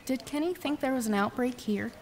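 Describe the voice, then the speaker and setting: Newspaper woman: brisk, obliging, businesslike persuader, slightly high pitch, audio drama.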